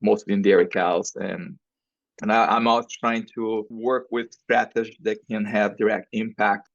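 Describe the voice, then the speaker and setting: A man's voice: on the low side, little pitch movement, measured pace, vocal fry, no room sound, highs cut off. A man from Brazil with a Brazilian accent and non-native English phrasing, explaining his research as an interview guest. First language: Portuguese. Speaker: podcast guest, professor and researcher.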